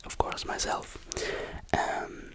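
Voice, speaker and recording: An ASMR creator whispering answers to questions in English, halting and searching for words, close to the microphone.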